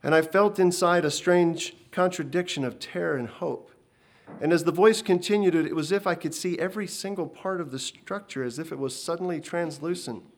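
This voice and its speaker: A man's voice: a little high, a little fast, pitched above the performer's own voice, close to an everyday voice. A man voicing a shaken old prophet. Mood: awed. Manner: hushed, earnest recounting. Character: old male prophet, shaken, earnest and pious.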